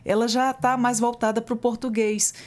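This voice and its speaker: A woman's voice: on the high side, level tone, moderate pace. A woman hosting an interview in a chatty, enthusiastic way, sharing personal stories and reacting with exclamations. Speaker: interview host. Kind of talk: conversational interview.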